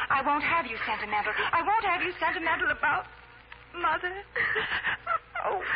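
A woman's voice: high-pitched, very noisy. An actress playing a proud, naive teenage girl with prim, precise, formal speech in a 1940s radio drama. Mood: tearful, flustered. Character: proud, proper, naive teenage half-German girl with pigtails. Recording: muffled 1940s radio broadcast with music.

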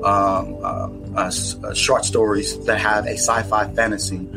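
American man, low-pitched, earnest, reflective, halting, music bed underneath. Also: little pitch movement, gravelly. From United States. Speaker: author guest. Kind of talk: podcast interview.

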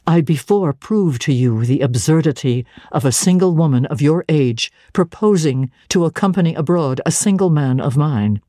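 A woman voicing a stern, cold male clergyman who speaks in a low, measured and severe way. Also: lively intonation, dark tone, dry recording, studio quality. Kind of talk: dramatic reading of a classic novel.

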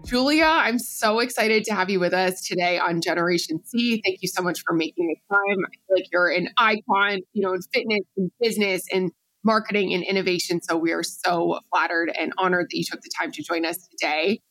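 A woman co-hosts a podcast with gushing enthusiasm. She is warm in her praise and eager in her questions. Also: lowish voice, fairly quick, faint music behind, rough recording. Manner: effusive and enthusiastic. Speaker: podcast co-host. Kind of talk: podcast interview.

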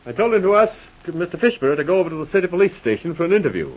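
An actor playing a puzzled, insistent police marshal in a 1940s radio drama, always questioning. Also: male voice, sits high, big pitch swings, rapid-fire. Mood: businesslike. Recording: dull lo-fi vintage radio recording.

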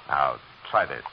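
An actor playing a hard-boiled private detective in a 1940s radio drama, dry and world-weary. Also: male voice, very deep voice, creaky, background noise, heavily acted. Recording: muffled old radio with music.

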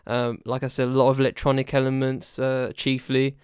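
Man, podcast co-host, smooth resonant voice, dry close mic, rambling casual chat. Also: medium pitch, completely flat delivery, brisk, no room sound. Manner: rambling, hesitant, lots of restarts.

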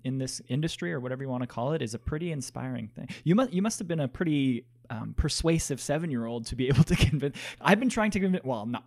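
A young man with a mid-pitched voice talks very quickly as an interviewer. He is chatty and engaged, phrasing his questions and comments casually, as in a friendly conversation. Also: a little mellow.

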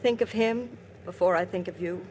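A woman's voice: lowish voice, moderate pace, darkish tone, slight background noise, natural voice. An actress playing a married woman in love with a priest, speaking in an intense, emotional, pleading way in a 1950s radio drama.

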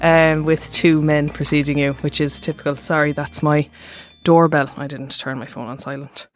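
A woman's voice: low-pitched, flat delivery, moderate pace. A young woman hosting a podcast, chatting informally and often stopping to restart her sentences. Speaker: podcast host. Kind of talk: casual podcast chat.

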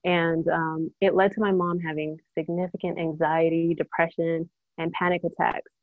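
A woman's voice: lowish voice, level tone, moderate pace, no echo, phone-quality sound. A woman from Trinidad telling her personal story as an interview guest, a naturopathic doctor, over thin call audio. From Trinidad, then the United States.

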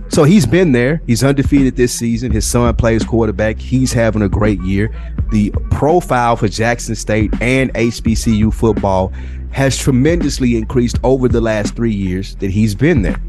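Low American male co-host, explanatory, music underneath. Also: a little mellow. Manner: informal, explanatory. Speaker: podcast co-host. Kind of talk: casual sports talk.

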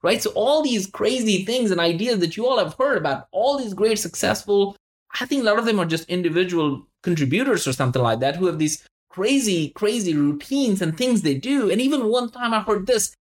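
A man's voice: high voice, lively intonation, a little fast. A man hosting a solo motivational podcast, talking conversationally and emphatically, repeating words and putting questions to the listener. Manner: poses rhetorical questions to the listener. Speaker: podcast host.

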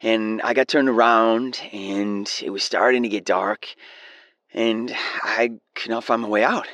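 An actor playing an earnest, excitable man phoning a radio show, hesitant and stumbling over his words. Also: fairly low pitch, measured pace, no echo, highs cut off. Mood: earnest, eager. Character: earnest, excitable male radio caller, awed believer. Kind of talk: naturalistic audio drama acting.

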